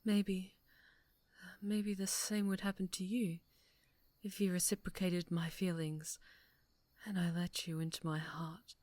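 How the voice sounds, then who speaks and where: Slow, menacing obsessive superhero woman, acted by a woman. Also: lowish voice, level tone, darkish tone, no echo, close to an everyday voice. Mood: wounded, brooding. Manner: halting, breathy whispers, menacing sweetness. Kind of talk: dramatic ASMR roleplay.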